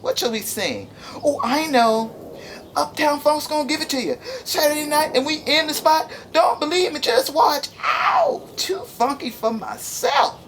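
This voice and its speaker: Bright-spirited, playful flowers acted by a woman storyteller chatter and sing in a high, swooping voice. They gush with showy, youthful excitement in a storybook style. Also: measured pace, slight background noise, exaggerated voice. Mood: exuberant. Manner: gushing, playful, sing-song, breaking into song.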